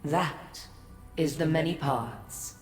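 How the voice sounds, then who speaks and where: An actress playing an ancient, aloof godlike being in a fantasy game, speaking formally and with haughty, cryptic condescension. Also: female voice, low-pitched, a little mellow, soft background music. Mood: aloof, intrigued. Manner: measured, formal, condescending to mortals. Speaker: video game character. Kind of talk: scripted fantasy game dialogue. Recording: echoey, long reverb.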